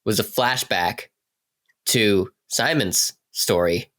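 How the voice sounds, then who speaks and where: A man's voice: on the low side, takes it slowly, a bit bright. A man bantering casually on a podcast in a playful, interjecting way. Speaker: podcast cast member.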